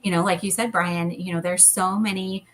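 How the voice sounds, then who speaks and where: A woman, a hypnotherapist, leading a guided hypnosis and then chatting as a guest in a calm, gentle way. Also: fairly low pitch, normal speed. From United States. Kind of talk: guided hypnosis then casual interview chat.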